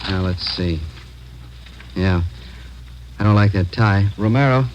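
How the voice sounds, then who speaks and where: Police captain for 1950s radio drama: deep, no-nonsense, businesslike, noisy vintage sound. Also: male voice, slow, highs cut off.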